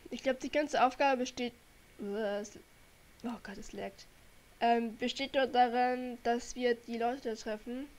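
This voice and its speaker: Young woman gamer w a cold, bit high voice, slow rambly chat into her desk mic. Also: steady tone. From Germany. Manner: chatty, rambling, talks to viewers while playing. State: has a cold. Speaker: gaming channel host. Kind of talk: casual gameplay commentary. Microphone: desk microphone.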